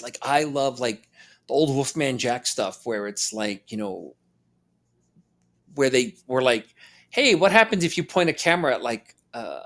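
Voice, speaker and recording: A man's voice: medium pitch, a bit bright. Reflective man from Hawaii, casual chat. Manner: reflective, meandering, filler-heavy. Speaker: podcast co-host.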